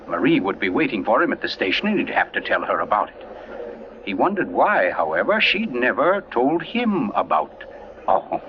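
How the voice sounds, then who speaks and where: An actor playing an urbane crime writer who tells a mystery with dramatic pauses, in 1940s radio style. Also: male voice, on the low side, background noise. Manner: suave, dramatic, savoring pauses. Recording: muffled vintage radio broadcast with music.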